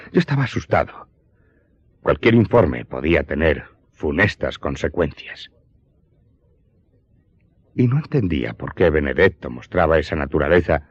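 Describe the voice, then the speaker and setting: A corsican steward confessing his past, very low solemn voice, radio drama, kinda muffled. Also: breathy edge, dry recording. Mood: somber, troubled. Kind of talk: dramatic first-person storytelling in an audio drama.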